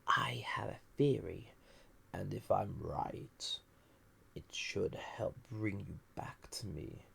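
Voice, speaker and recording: Man acting a banished villain, breathy rasp, lowish pitch, extremely unhurried, seductive, dangerous, teasing, languid pauses, intimate audio roleplay. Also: fairly bright tone, full character voice. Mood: urgent, intent. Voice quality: breathy, husky, often half-whispered. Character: flirtatious, dangerous banished villain, lonely ancient dream dweller.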